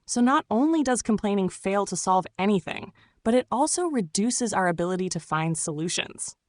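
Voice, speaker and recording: A woman delivering a scripted explainer in a measured, instructive way, often posing rhetorical questions as a mindfulness host. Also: medium pitch, animated, no echo, clean studio sound.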